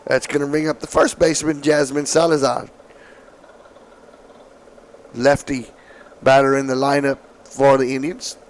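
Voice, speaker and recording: A man calling softball play-by-play, his voice slightly raspy from allergies, with calm, measured calls. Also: mid-range voice. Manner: calm, measured calls, excited on big hits. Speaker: play-by-play announcer.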